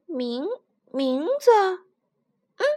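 A big, gruff storybook tyrannosaurus father, acted by a woman, blustering and stammering over a soft heart. The delivery is slow and highly animated. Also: full character voice. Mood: flustered.

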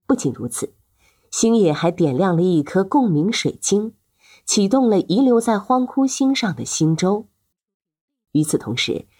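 A woman's voice: fairly low pitch, darkish tone, husky. A woman narrating a serialized fantasy audio drama as its host, in a dramatic scripted storytelling style.

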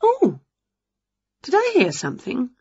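A woman voicing an excitable, greedy, scatty woman from a children's storybook, gushing and exclaiming. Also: on the high side, a little slow, breathy edge, no room sound, dull audio, voice pushed up, full character voice. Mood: wondering.

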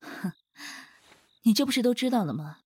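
A woman acting a composed, shrewd, mature finance director with a calm, cool manner in a modern audio drama. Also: a little high, normal speed, breathy edge, dry recording. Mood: cool, reserved.

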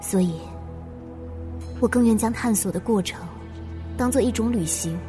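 A woman's voice: a little high, close to an everyday voice. Woman acting a pensive female traveller over music. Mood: quiet, contemplative. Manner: measured, contemplative, short phrases with pauses. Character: pensive gentle female traveller narrating her reflections. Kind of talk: radio drama monologue. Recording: clear music under the voice.

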